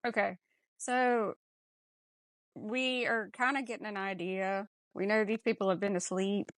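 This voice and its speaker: A woman's voice: mid-range voice, steady tone, measured pace, no echo, clean studio sound. A woman hosting a podcast, talking casually through a film discussion. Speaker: podcast host.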